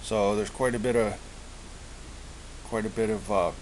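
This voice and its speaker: Man, lowish pitch, casual hobbyist demo, halting and repetitive phrasing, slight hiss, amateur recording. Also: fairly even intonation, low-quality recording. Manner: halting, searching for words, repeating phrases. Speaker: hobbyist presenter. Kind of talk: casual product show-and-tell. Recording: hissy amateur home recording.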